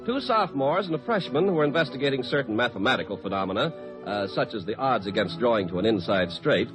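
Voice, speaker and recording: A man with an American accent announcing and narrating in polished, measured 1950s radio style. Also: medium pitch, lively intonation, low-quality recording. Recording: old radio broadcast over loud music. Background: loud music.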